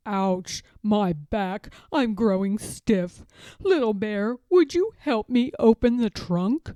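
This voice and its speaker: A woman voicing a kindly old grandfather bear from a storybook, a retired sea captain who speaks with reassuring, drawn-out emphasis. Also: mid-range voice, animated, warm tone, dry recording, clean studio sound. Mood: reassuring, achy.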